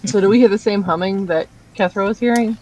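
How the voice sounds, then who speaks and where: A woman's voice: medium pitch, fast, darkish tone, low-quality recording. Woman playing a tabletop game. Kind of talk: casual game talk.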